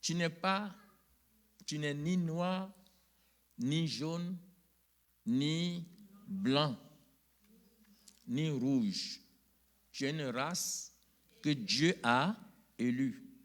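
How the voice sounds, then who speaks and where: Male pastor, middle-aged, high bright voice, unhurried call-and-response church preaching. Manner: call-and-response preaching, rising to loud emphatic peaks. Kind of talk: sermon.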